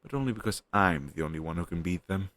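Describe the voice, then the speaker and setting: A man acting as a playful, teasing young best friend in a casual, expressive roleplay chatting directly to the listener. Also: sits low, quick pace, no room sound. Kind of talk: roleplay audio drama, intimate casual chat with listener.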